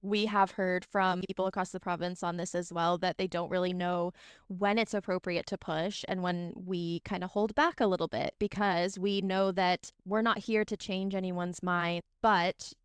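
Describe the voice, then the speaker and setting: A woman hosting an interview podcast, calm and affirming as she reflects and asks questions. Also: on the low side, moderate pace, clear, resonant tone, dry recording, studio quality. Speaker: podcast host.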